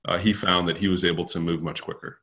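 A man with a low-pitched voice speaks as an expert interview guest. He is conversational and affirming, and explains his points as stories. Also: level tone, fast talker, fairly bright tone.

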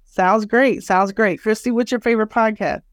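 A woman's voice: medium pitch, steady tone, moderate pace, no echo, clean studio sound. A woman hosting a podcast, moderating a panel in a conversational way. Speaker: podcast host.

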